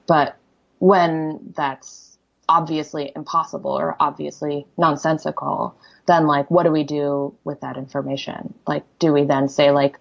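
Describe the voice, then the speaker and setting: A woman's voice: low-pitched, little pitch movement, a little slow, gravelly, dull audio. A poet talking casually in an interview, her first language Korean, chatty and full of "like" fillers and restarts. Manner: chatty, many "like" fillers, restarts, laughs easily. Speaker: poet, guest. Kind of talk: casual conversation.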